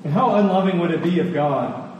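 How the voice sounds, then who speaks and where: A male preacher delivers an earnest sermon in a fairly high, soft-edged mellow voice. He leans on key phrases and repeats them for emphasis. The sound is heavily reverberant, as if in a large hall. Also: dull audio. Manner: earnest, emphatic, with deliberate repetition for weight. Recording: echoey hall, distant, faint noise.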